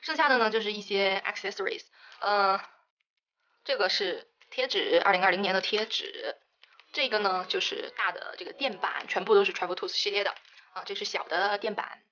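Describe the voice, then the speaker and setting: A woman's voice: medium pitch, moderate pace, bright, forceful tone, lo-fi sound. A young woman chatting enthusiastically about her stationery haul, mixing into her speech. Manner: chatty, enthusiastic, many fillers. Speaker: stationery vlogger. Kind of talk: casual unboxing narration.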